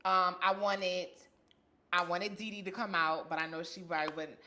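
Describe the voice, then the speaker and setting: A woman's voice: on the low side, level tone, normal speed, sharp tone, a little hiss or hum. A woman interviewing casually on a podcast, speaking in an encouraging, conversational way.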